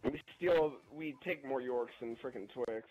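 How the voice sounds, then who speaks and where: A young man telling a casual, rambling story in an animated, slangy way while gaming. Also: medium pitch, a little slow, highs cut off, faint music behind. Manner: animated, slangy, chuckling delivery. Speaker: gameplay video narrator.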